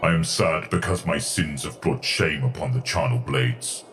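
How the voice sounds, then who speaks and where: A man's voice: extremely low pitch, moderate pace, fairly bright tone, faint music behind. A man voicing a melancholic, stern warrior chaplain in an audio drama, speaking in solemn, formal phrases. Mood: mournful, ashamed. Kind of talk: dramatic audio story character acting.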